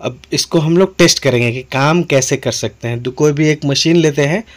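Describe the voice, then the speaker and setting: An Indian-accented man with a fairly high voice presents hardware like a shop seller. He explains plainly and informally, repeating himself and dropping in English terms as he goes. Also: normal speed. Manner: explanatory, repetitive, mixing in English words. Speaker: tool seller demonstrating products. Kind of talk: informal product demonstration.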